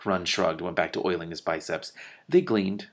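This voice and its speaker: Gravelly low-voiced man reading aloud. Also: flat delivery, quick pace, a bit bright. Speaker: host reading a book aloud with commentary. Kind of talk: expressive book narration with off-the-cuff commentary.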